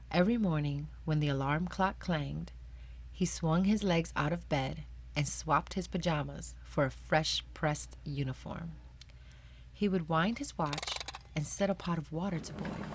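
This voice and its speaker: Slow, low-voiced woman reading a storybook. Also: noisy background. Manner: calm, clear, measured. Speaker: storyteller and channel host. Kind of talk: children's read-aloud narration.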